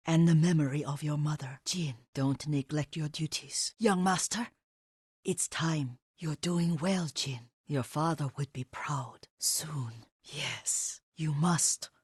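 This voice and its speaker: Old woman caretaker, game dialogue, unhurried pace, close dry sound, gentle, softly instructive, devoted. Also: sits low, no echo, full character voice. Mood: encouraging, solemn. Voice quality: husky, breathy. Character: elderly devoted caretaker woman, herbalist, gentle but firm. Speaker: video game voice actor. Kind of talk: video game character dialogue.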